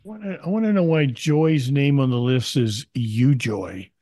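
A man's voice: mid-range voice, unhurried, warm tone, dry recording. A man on a radio panel chatting casually in a teasing, needling way. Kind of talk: casual chat.

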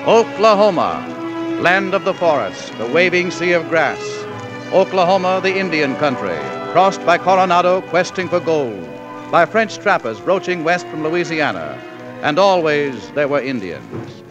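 Grand radio history narrator, highish voice. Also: male voice, sing-song, measured pace, lo-fi sound. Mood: grand, stirring. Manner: grand, declamatory. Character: solemn, stirring narrator of frontier history. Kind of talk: 1940s radio drama narration. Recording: vintage radio broadcast over music.

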